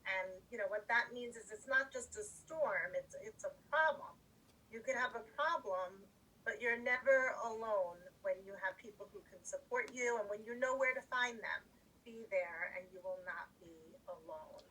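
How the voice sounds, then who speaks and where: Higher-voiced woman teacher, distant from the mic. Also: moderate pace, bright, forceful tone, slightly rough. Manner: simple, explanatory, encouraging. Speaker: teacher hosting class read-aloud. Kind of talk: addressing a class of young children. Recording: distant mic, faint background music.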